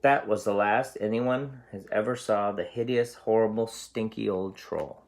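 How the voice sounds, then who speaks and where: Gravelly storyteller reading to children. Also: mid-range voice, measured pace, a little mellow. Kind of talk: expressive read-aloud for young children.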